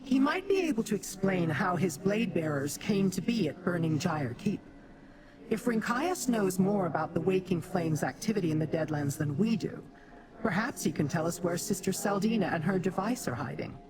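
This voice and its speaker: Woman as a haughty, animated demon warrior. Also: on the low side, natural voice, poor audio. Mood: explanatory, resolute. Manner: formal, imperious, deliberate. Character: proud, haughty female demon warrior allied to a mortal. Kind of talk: fantasy game quest dialogue. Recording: clear background noise, game ambience.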